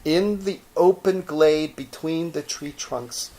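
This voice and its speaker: A man reading a children's story dramatically and expressively, lingering over short phrases with pauses. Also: a little high, dark tone. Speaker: storybook narrator, actor.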